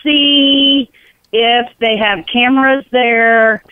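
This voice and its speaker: A woman's voice: a little high, fairly even intonation, extremely slow, dry recording. A middle-aged or older woman on the telephone, talking in a firm, questioning and incredulous way. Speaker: prank call target, grandmother. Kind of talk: phone conversation. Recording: tinny dry phone line.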